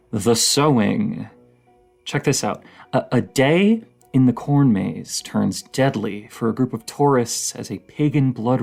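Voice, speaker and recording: Man acting as a dorky, gravelly-voiced nerdy partner. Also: lowish voice, darkish tone. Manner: halting, stammering, nerdy enthusiasm. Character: dorky, excitable partner, a rambling horror-movie nerd. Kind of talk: intimate roleplay audio, casual chatty rambling.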